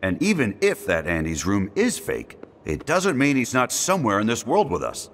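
A man's voice: medium pitch, huge pitch swings, moderate pace. A man playing a heroic toy space ranger in a video game, stern and commanding with firm, no-nonsense statements. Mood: firm, defiant. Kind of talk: animated video game dialogue.

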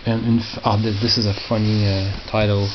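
A middle-aged man giving casual, halting commentary as he reads out titles and mutters asides. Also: flat delivery. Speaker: record collector showing his collection. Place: small tiled indoor room by storage crates. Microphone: handheld camera held by the speaker. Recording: muffled, noisy low-grade camera audio with clicks.

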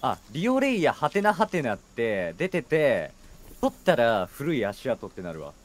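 A man's voice: medium pitch, wildly swooping pitch, normal speed. A man playing a video game with a friend, chatting casually with blunt, teasing retorts. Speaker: guest game streamer. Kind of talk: casual co-op gameplay chat.

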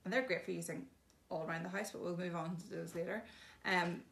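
A woman's voice: fairly low pitch, steady tone, fairly quick, creaky, a bit bright. A woman giving a chatty, casual demonstration of bathroom products, explaining each one plainly with frequent ums. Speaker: shop presenter showing products.

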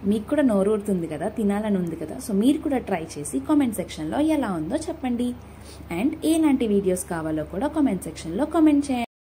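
A woman's voice: medium pitch, sing-song, fast, dark tone, a little hiss or hum. A young woman with a Telugu accent narrating a cooking recipe in a casual, friendly way, talking to her viewers and mixing in English words. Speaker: cooking vlogger.

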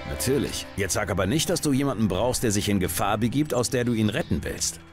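A male actor as a steady, loyal video game hero. The voice sits low, with a dry, terse manner. Clear music runs underneath. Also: brisk, lightly acted. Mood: urgent. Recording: background music.

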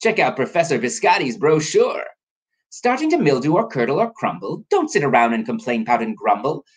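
A man voicing an eccentric female cookie professor, a brisk inventor with a theatrical, salesy delivery in a storybook. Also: medium pitch, sing-song, slightly rough, pitched above the performer's own voice. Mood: salesy, upbeat.

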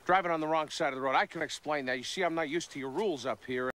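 A man's voice: fairly high pitch, very fast, a bit bright, natural voice. An actor playing a bumbling American man fumbling to explain himself to Canadian police. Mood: sheepish. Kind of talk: film dialogue.

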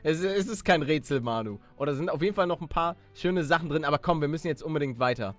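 A man's voice: on the high side, animated, quick pace, fairly bright tone. A man giving casual gameplay commentary with some role-play, often hesitating and repeating words as he coaxes along. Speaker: gamer playing a Minecraft story series. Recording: music under the voice. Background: music.

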